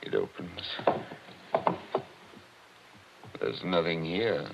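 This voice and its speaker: An actor playing a skeptical, deliberate older gentleman in a 1940s radio drama, with halting delivery. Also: low voice, animated, creaky. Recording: muffled, noisy vintage radio recording.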